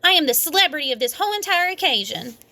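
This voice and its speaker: A woman voicing an excitable, cheeky first-grade girl in a children's storybook, with emphatic childish phrasing. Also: high voice, fast, voice pushed up, full character voice. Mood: indignant. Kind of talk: children's read-aloud storybook.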